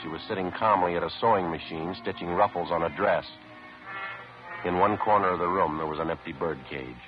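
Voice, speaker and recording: A man playing a stoic, methodical police detective, narrating in a clipped, deadpan 1950s radio drama style. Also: deep voice, a little fast, over music, lightly acted. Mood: sober, businesslike. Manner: deadpan, matter-of-fact. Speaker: narrator and lead actor. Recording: muffled vintage radio recording.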